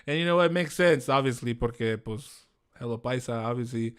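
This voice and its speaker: A man's voice: mid-range voice, brisk, clean tone. Bay guy hosting his podcast, rambly and jokey, switches spanish all the time. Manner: joking, rambling, mixes Spanish and English. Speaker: podcast host. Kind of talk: casual podcast interview.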